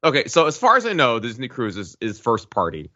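A man chatting casually as a podcast co-host, halting and hedging, often wandering into asides. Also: mid-range voice, huge pitch swings, bright, forceful tone. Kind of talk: casual chat. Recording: slightly dull, lo-fi audio.

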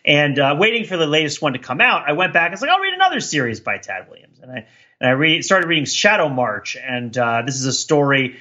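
Bright, forceful, higher-voiced man podcasting. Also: fairly quick, muffled recording. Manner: rambling, self-reflective, animated talker. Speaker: podcast co-host. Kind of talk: casual pop culture discussion.

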